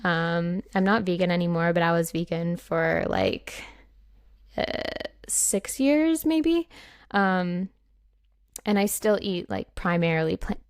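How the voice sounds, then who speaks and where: A woman's voice: on the low side, takes it slowly, clear, resonant tone, dry recording, studio quality. A young woman hosting a podcast, rambling casually through an intro with many fillers. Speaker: podcast host.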